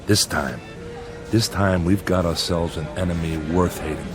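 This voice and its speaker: Radio drama factory boss, played by a man: deep, plainspoken, earnest and weary, music underneath. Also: normal speed, close to an everyday voice. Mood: grim, bitter. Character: weary, bitter factory supervisor, gentle with people.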